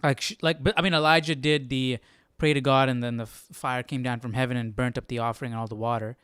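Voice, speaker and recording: A man hosting a Bible study livestream, thinking aloud in a casual, informal way and often halting to search for words. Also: medium pitch, level tone, a bit bright, clean tone, no room sound, clean studio sound.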